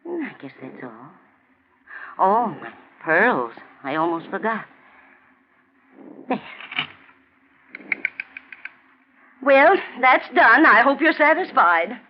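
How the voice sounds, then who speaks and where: An actress playing a gentle, dithering, indulgent old lady in a 1940s radio drama. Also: on the high side, very animated, slow, long pauses. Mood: absent-minded, tender. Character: kindly, indulgent old lady, softly amused. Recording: dull, noisy 1940s radio recording.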